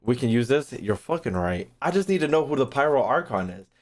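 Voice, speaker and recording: Male streamer, mid to high register, highly animated intonation, casual profane reaction commentary, circles back over his own phrases. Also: fairly quick. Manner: profane, slangy, repeats phrases while thinking aloud. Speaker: gaming streamer.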